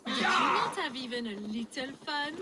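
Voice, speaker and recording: A woman's voice: high voice, animated, normal speed. An actress playing a playful, dramatic young Saxon noblewoman with a coy, theatrical manner in a video game. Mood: excited. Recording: clear ambient noise.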